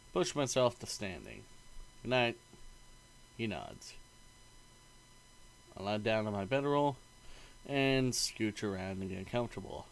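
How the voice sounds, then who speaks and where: Low-voiced man, very animated narration. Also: creaky, rough recording. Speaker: gamer reading a visual novel aloud. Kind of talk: expressive read-aloud narration of a game.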